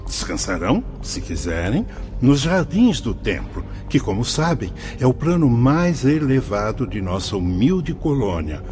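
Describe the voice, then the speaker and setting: Casting: a paternal spirit guide in a radio play, formal and fervent, very animated pitch, light music behind. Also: male voice. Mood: kindly, firm. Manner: measured, paternal, preacherly phrasing. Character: kindly solemn spirit mentor, brother Anselmo, paternal guide. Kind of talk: radio drama acting, formal and declamatory.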